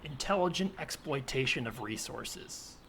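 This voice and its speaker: A man's voice: mid-range voice, expressive, a little slow, a bit bright. A man playing an earnest, provocative right-wing militia member and self-styled historian, in a hesitant, probing, conversational improvised comedy scene. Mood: insistent, pointed. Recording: clearly noisy, added ambient soundscape. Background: ambient sound effects.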